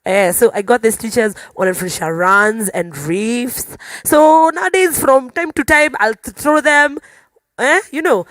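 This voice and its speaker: A woman with a Kenyan accent talking casually as a musician guest on a panel, telling stories in an animated way. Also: on the high side, a little slow, no echo. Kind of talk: casual conversational panel talk.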